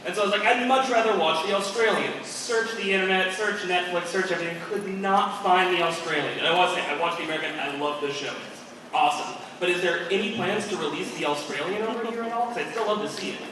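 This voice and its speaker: A man's voice: high-pitched, fast, bright, forceful tone, slight background noise. A man in the audience asking a panel a question in a chatty, eager way. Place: large convention hall. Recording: echoey hall from far off.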